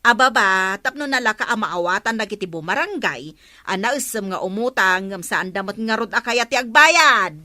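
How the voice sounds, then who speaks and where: A woman's voice: medium pitch, lively intonation, normal speed, pushed and bright, dry recording. A woman with an Ilocano accent playing a no-nonsense village shopkeeper in a radio comedy drama. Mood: firm, exasperated.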